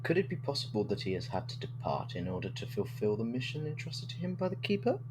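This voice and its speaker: A man's voice: medium pitch, quick pace, close to an everyday voice. A man playing a cautious, courteous councillor in an audio drama, speaking formally and expressively. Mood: doubtful. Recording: noisy recording with steady hum.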